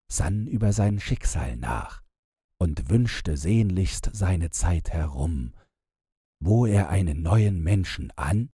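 A man, narrating a bedtime fairy tale in a measured, gentle storytelling manner, close to the microphone. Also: deep voice, husky, dry recording. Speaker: audiobook narrator.

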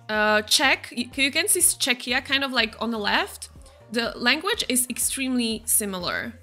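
A bright, rather high-voiced young woman with a Slovak accent, talking casually to her viewers over a game. She chats on, answering chat messages one after another, with soft game music playing faintly behind her. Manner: chatty, reads chat messages aloud and answers them. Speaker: streamer. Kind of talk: casual chat while gaming.

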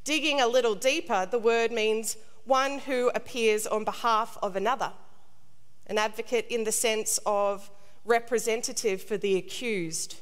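A middle-aged woman priest delivers a scripted sermon in a measured, solemn, deliberate way. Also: a little high, steady tone. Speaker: priest, preacher. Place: large stone cathedral, indoors. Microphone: lectern gooseneck microphone. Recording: long cathedral reverb.

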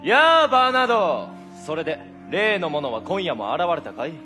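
A man's voice: on the high side, big pitch swings, normal speed, pushed and bright. An actor playing a solemn night watchman with theatrical delivery in a comic Shakespeare stage play. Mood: solemn, conspiratorial. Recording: stage recording with music.

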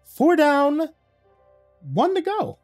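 A theatrical devil lord from a horror story, pitched high and swooping with glee. He mocks his listener grandly, stretching words and exclaiming. Music plays underneath. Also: animated, darkish tone, no room sound, full character voice. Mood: teasing. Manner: mocking, drawn-out, exclamatory. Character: gleeful devil lord on a throne, mocking and theatrical.

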